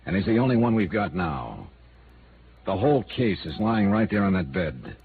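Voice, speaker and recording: Deep-voiced hard-boiled American investigator. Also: male voice, sing-song, normal speed, gravelly, a little hiss or hum, exaggerated voice. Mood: grim, insistent. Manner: terse, dramatic delivery. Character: world-weary hard-boiled male insurance investigator, brooding and blunt. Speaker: actor, radio drama lead. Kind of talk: 1950s radio noir acting, narrating and dialogue. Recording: muffled vintage radio recording with music.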